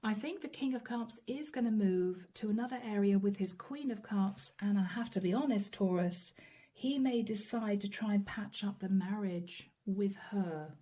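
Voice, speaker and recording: A woman doing a channeled tarot reading straight to the viewer, earnest and apologetic, her voice going hoarse. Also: mid-range voice, normal speed, warm tone, a little hiss or hum. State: losing her voice. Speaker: tarot reader.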